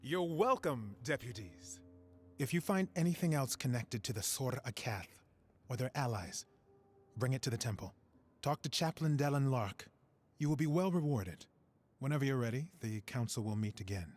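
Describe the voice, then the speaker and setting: An actor playing a grave scholar in a fantasy game, speaking in a solemn, deliberate, explanatory way. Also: male voice, medium pitch, animated, natural voice. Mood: formal. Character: grave male scholar briefing adventurers on ancient monsters. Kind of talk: scripted fantasy game cutscene acting.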